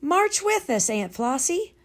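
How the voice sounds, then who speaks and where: Eager little storybook girl played by a woman, high pitch, curious, insistent, very lively intonation. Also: takes it slowly, exaggerated voice.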